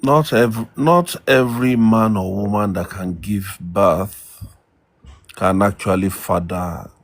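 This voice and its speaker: A middle-aged man, a guest elder giving measured marriage advice over a distant remote call line. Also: fairly low pitch, fairly even intonation. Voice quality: loud voice. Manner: slow, measured advice with pauses.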